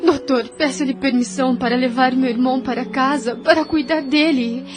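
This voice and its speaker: A woman with a Brazilian accent acting a gentle, devoted woman of thirty, earnest and formal, in an audio drama. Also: high voice, fairly even intonation, moderate pace, music in the background, natural voice. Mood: anxious, caring.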